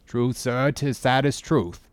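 A man acts out a ship's first mate in a storybook sea tale, loyal and deeply troubled. His speech is solemn, pleading and archaic, in a mid-pitched voice with a dry, close sound. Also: slow pace, no room sound, natural voice. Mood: sad, resigned.

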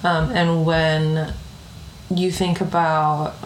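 A woman's voice: deep voice, little pitch movement, drawn-out words. A woman from the Bronx, New York, speaking as a podcast guest in a reflective, conversational way, often adding "like" and "right?". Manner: reflective, frequent "like" and "right?" tags. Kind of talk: conversational interview. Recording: echoey room with faint noise.

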